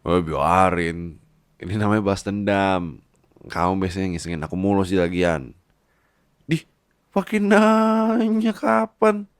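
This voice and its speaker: Give the doting husband of an ASMR roleplay , acted by a man, a very low voice that lingers slowly over his words. He teases and coaxes like a big babyish boss, sometimes slipping into a whisper, all recorded dry and close. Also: big pitch swings, clear, resonant tone, no echo, heavily acted. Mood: mischievous. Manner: coaxing, playful, drops into whispers. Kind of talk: intimate boyfriend ASMR roleplay.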